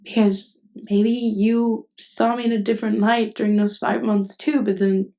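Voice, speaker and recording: A woman acting a nervous, tender best friend with hidden love in an intimate ASMR roleplay, speaking hesitantly and haltingly. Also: a little high, level tone, mellow. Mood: resigned, hurt. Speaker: voice actress.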